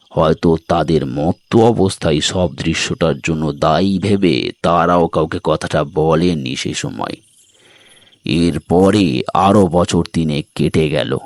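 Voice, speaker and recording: A man's voice: a bass voice, creaky. A man narrating a scripted story as a dramatic storyteller.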